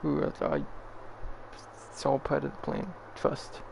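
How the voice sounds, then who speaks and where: A young man giving casual gameplay commentary in a halting, mumbly, self-deprecating way. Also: fairly high pitch, gravelly, background noise. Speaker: game streamer. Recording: game music behind voice.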